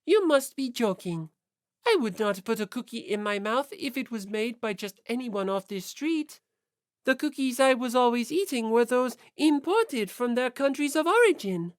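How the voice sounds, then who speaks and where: Woman as haughty young lady, aristocratic airs, pompous, dismissive, animated pitch, audiobook character, dry recording. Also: moderate pace, no room sound.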